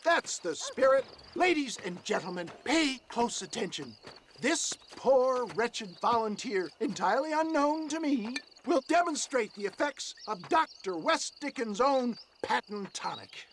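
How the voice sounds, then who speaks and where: An old frontier huckster from a Western game, pitched very high for a man. He delivers grandiose, florid patter like a showman. Faint outdoor noise with insects sits behind the voice. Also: big pitch swings, measured pace, a bit bright, full character voice. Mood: hawking, grandiose. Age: older. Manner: theatrical, florid, hawker's patter. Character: grandiloquent old snake-oil salesman in the Wild West.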